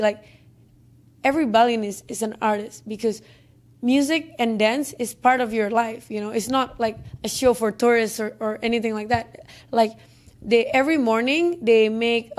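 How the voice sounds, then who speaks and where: Young Indonesian-accented woman director, slightly high voice, loose and chatty interview delivery. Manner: chatty, many fillers and restarts. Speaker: film director. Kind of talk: interview answers. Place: office conference room, indoors. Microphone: handheld mic.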